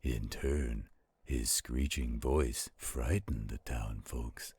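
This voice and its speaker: A protective werewolf storyteller with an extremely low, badly husky voice. He speaks slowly and patiently, soothing the listener in an intimate roleplay audio style. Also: a little mellow, exaggerated voice. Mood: soothing storytelling. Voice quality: very deep, gravelly, husky. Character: gentle protective adult male werewolf reading aloud.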